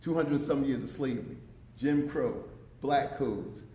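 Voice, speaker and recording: Man, fifties, deep voice, impassioned panel talk, echoey conference room. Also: measured pace, dark tone. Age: 50s. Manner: impassioned, emphatic, repeats words when pressing a point.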